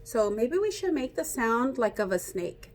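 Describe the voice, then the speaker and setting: A woman reading a picture book aloud like a teacher, pausing to explain and ask young children questions. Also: on the high side, big pitch swings. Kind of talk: interactive children's read-aloud.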